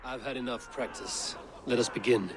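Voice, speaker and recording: An actor playing a proud, blunt Viking raider in a video game, speaking in measured, terse lines. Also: male voice, mid-range voice, steady tone, noisy background, close to an everyday voice. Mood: resolute. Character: stoic, battle-hardened male Viking raider, blunt and proud. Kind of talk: dramatic video game acting. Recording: loud ambient game sound behind voice.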